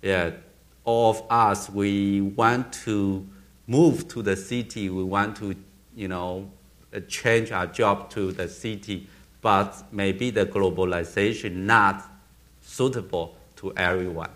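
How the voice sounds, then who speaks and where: A man in his thirties with a Burmese accent, a film director answering audience questions on a theater stage, halting and often restarting as he searches for words. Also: on the low side, steady tone, fairly bright tone. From Myanmar.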